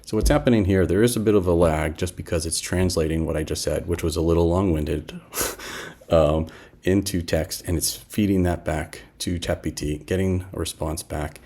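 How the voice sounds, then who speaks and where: A man in his fifties narrating a casual software demo in an informal, explanatory way with asides and a husky voice. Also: sits low, steady tone, normal speed. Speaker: app developer presenting a demo.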